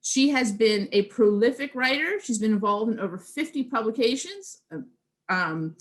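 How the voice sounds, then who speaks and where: A woman's voice: fairly high pitch, animated, normal speed. A woman host reading out a speaker's credits to introduce her over a video call. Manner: reads a list of credits. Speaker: event host introducing the moderator.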